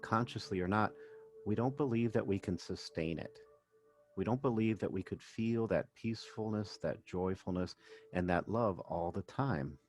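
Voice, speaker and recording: A man working as a meditation coach, narrating a guided session in gentle, measured phrases with pauses. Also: fairly low pitch. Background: background music.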